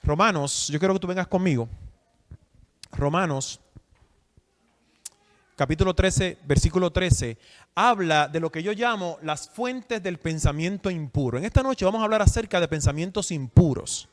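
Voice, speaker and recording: High-voiced preacher in a hall. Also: male voice, normal speed. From Dominican Republic. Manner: asks the audience questions, emphatic. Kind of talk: sermon teaching. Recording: long hall reverb.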